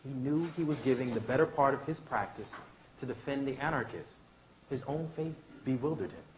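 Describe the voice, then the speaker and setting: A man reads as an idealistic lawyer and orator in a staged drama. The voice sits at a medium pitch, and the delivery is earnest and declamatory, shaped like formal public speech. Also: a little fast, a little mellow, a little hiss or hum, natural voice, rough recording. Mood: earnest, defensive. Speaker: professor reading a play. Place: indoor launch event venue.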